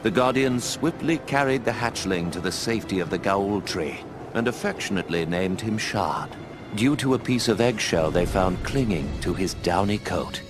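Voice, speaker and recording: A grave old owl mentor tells his tale in a deep, low voice, measured and unhurried, as a man acts him out. Clear game music plays loudly underneath, and his orders come out dry and stern. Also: expressive, natural voice. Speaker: narrator and mentor. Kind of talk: game cutscene voice acting. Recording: voice over loud game music.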